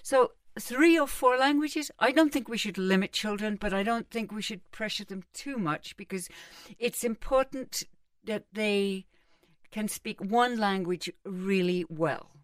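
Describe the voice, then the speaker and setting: A woman's voice: mid-range voice, measured pace, no echo, recorded from a distance. A middle-aged woman from England, speaking as a guest psychotherapist in a casual interview and explaining things emphatically with examples.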